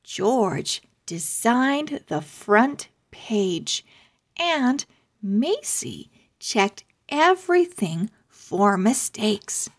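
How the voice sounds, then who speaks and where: Female storybook narrator for young children, high voice, extremely unhurried delivery. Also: big pitch swings. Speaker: children's book read-aloud narrator. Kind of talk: slow, deliberate scripted storybook narration for children.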